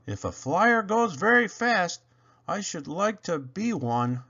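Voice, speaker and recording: A man voicing an eager, boastful young locomotive in a children's storybook, with excitable, exclamatory delivery. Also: sits high, takes it slowly, gravelly, dull audio, voice pushed up, exaggerated voice. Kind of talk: children's storybook character reading.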